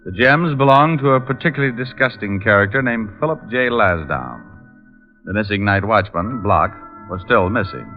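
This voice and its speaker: A muffled vintage radio recording carries an actor as a private eye with a low voice. He is sardonic and flirtatious, delivering dry wisecracks in classic detective drama style, with music in the recording. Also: animated, moderate pace, big-room sound, natural voice. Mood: wry. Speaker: lead actor and narrator.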